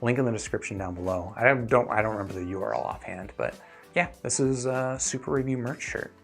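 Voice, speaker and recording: A man's voice: medium pitch, brisk, creaky, soft background music. A man hosting a live stream, talking casually and explaining his thinking to viewers in a conversational way. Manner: conversational, explanatory, often checking in with "right?". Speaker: host of an earphone review live stream.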